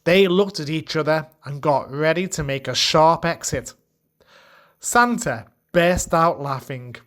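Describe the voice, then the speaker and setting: Slow-paced male narrator. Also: a little high, fairly bright tone. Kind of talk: scripted radio play narration.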